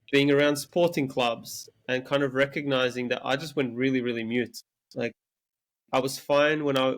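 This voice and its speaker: A young man from Serbia, whose first language is Serbian, speaking as an interview guest. He tells personal stories in a measured, candid way, with occasional stammering restarts. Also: medium pitch. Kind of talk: reflective personal storytelling.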